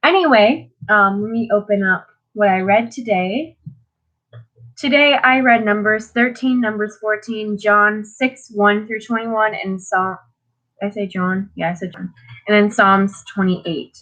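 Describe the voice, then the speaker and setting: A woman's voice: medium pitch, unhurried, a bit bright, rough recording. A teenage girl talking casually about her daily Bible reading, stuffed up and sniffling, rambling with lots of fillers. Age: teens. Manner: rambling, many fillers and restarts. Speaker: vlogger. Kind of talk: casual devotional talk.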